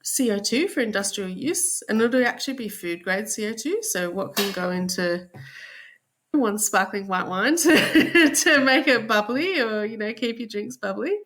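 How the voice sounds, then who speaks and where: A woman's voice: fairly high pitch, expressive, normal speed, a bit bright. A woman with an Australian accent, explaining things conversationally as a guest in a podcast interview.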